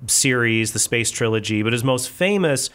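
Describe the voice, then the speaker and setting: A man's voice: mid-range voice, lively intonation, normal speed, a bit bright. A man hosting a podcast in casual conversation, asking curious questions and introducing the topic in a chatty way. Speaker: podcast host.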